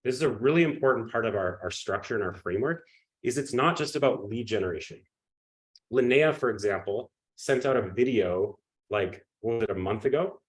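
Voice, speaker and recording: A man with a cold, sniffling and coughing, giving an energetic live webinar pitch and often checking in with his audience. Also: fairly quick, poor audio. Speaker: webinar presenter.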